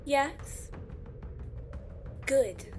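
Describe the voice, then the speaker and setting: A woman's voice: high voice, animated, very slow, darkish tone, faint music behind, full character voice. A woman playing a stern, commanding tribunal leader in a fantasy audio drama, firm and impatient.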